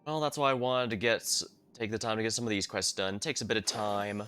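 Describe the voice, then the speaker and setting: A man's voice: lowish voice, steady tone, quick pace, pushed and bright, soft background music. A man giving casual gameplay commentary as a streamer, explaining things in a hesitant, trailing way. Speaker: game streamer. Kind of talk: casual gameplay commentary, reads quest dialogue aloud.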